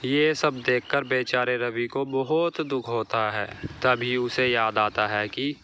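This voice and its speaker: Casting: male storyteller for children's cartoon tales, bright forceful tone, slow measured pace, faint noise. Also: medium pitch, fairly even intonation. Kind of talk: scripted children's moral-story narration.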